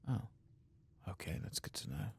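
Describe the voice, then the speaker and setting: A man giving soft-spoken ASMR commentary on a video game, in a breathy voice that often drops to a whisper. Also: moderate pace.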